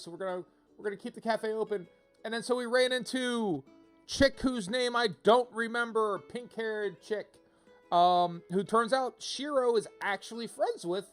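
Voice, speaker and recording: A man giving casual livestream commentary to viewers, thinking out loud in an informal, rambling way and often rephrasing himself. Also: sits high, normal speed. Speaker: video game livestreamer, host. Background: music.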